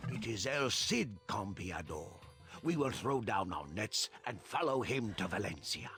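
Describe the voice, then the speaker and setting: An actor playing medieval soldiers and villagers addressing their lord in formal, declamatory game dialogue. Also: male voice, fairly low pitch, sing-song, measured pace, fairly bright tone, soft background music, full character voice. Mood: reverent. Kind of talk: dramatic video game unit dialogue.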